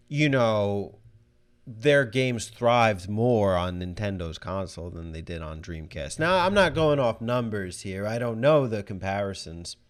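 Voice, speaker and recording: A man hosting a voicemail segment, giving casual video game commentary in a conversational way, thinking aloud as he goes. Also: mid-range voice. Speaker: host answering listener voicemails.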